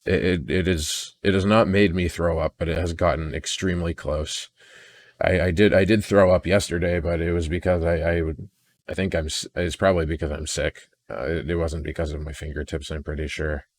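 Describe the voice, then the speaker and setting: A man recording an unscripted personal audio log in a weary, downcast, introspective way, halting and often repeating words as he restarts sentences. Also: deep voice, steady tone, slight background noise. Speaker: audio diarist.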